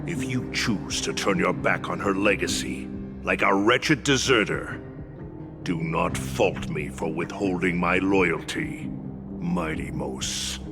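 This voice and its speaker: A stern old warrior retainer speaks in a low, slow voice full of proud scorn. His gravelly delivery is grave and formal, as in an epic fantasy game. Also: music in the background. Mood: stern, reproachful. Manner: grave, formal, deliberate oratory. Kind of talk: dramatic video game acting.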